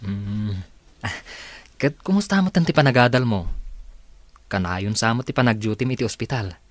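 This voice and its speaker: A man's voice: medium pitch, expressive, normal speed, dry recording, close to an everyday voice. An actor with a Filipino accent playing an attentive young man in a radio drama. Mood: friendly, tender. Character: attentive young man courting his friend.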